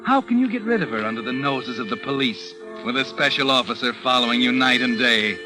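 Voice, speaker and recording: Over clear background music, a man acts as an ominous, all-knowing narrator of a vintage radio mystery. His voice is mid-pitched and insinuating, sardonically needling the character he addresses. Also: lively intonation, fairly quick, lightly acted, low-quality recording. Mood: taunting. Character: taunting, omniscient, ominous male narrator of crime tales. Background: dramatic music.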